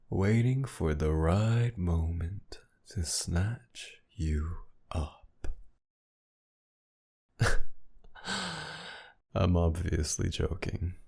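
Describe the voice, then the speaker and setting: A man playing a mocking, menacing masked villain kidnapper in an intimate ASMR roleplay, with a gravelly, breathy murmur that often sinks toward a whisper. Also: low-pitched, mellow, full character voice. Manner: taunting, commanding, slow and teasing.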